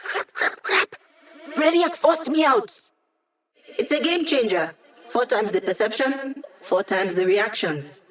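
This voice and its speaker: A woman's voice: on the high side, expressive, takes it slowly. An actress voicing a witty young female hacker in a video game, a digitized mind who helps a squad with breezy, wry, techy teasing. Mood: frustrated, then focused, eager. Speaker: mission support character in a video game.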